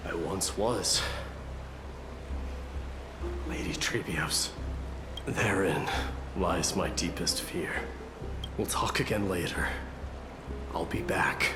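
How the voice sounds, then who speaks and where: An actor playing a grave, proud warrior crown prince in a video game, speaking in solemn, formal phrases. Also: male voice, deep voice. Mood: somber. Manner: solemn, formal, measured wording. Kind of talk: dramatic video game voice acting. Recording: echoing voice under loud music. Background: loud game music.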